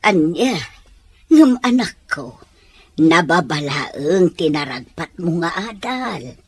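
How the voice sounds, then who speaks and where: Low-voiced, worried Ilocano mother played by a woman. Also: sing-song, takes it slowly, slightly rough, dry recording, full character voice. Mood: pleading. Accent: Ilocano Filipino. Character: worried, loving poor mother of a teenage daughter. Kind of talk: Ilocano audio drama acting.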